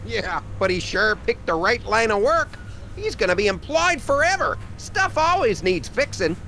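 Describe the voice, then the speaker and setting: An actor voicing a cocky, gossipy backwoods factory worker with folksy rural talk in a video game. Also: male voice, sits high, sing-song, normal speed, gravelly, sharp tone, a little hiss or hum, exaggerated voice. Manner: folksy rural grammar, opinionated. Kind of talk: cartoonish video game dialogue.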